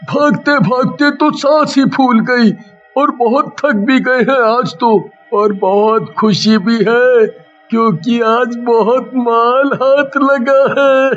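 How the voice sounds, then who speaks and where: A man's voice: extremely high pitch, steady tone, takes it slowly, muffled recording, soft background music. A man voicing a weary, easily frightened thief in a horror cartoon. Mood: weary, gleeful. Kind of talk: cartoon horror story dialogue.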